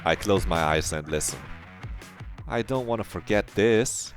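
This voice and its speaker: A deep-voiced man reading a visual novel aloud on stream, breaking in with animated reactions. Faint game music sits beneath his voice. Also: measured pace.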